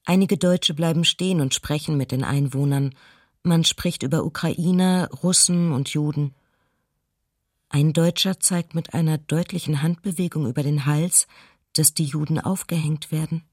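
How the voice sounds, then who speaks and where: An actress playing a sober city diarist under occupation, reading testimony plainly and with restraint for radio docudrama. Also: female voice, deep voice, darkish tone, husky, no room sound, clean studio sound, heavily acted.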